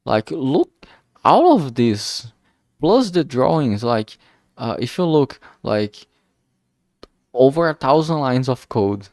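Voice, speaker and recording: A man talking casually and reflectively on a solo stream, halting often as he searches for words. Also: mid-range voice, very animated, darkish tone, no room sound, clean studio sound. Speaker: indie game developer streaming.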